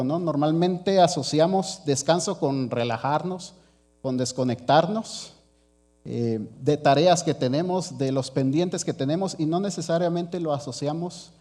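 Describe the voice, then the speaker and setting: A man delivers an earnest sermon to his congregation in a mellow, warm voice, speaking to them directly as brothers. A faint mains hum sits under the recording. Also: medium pitch. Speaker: preacher.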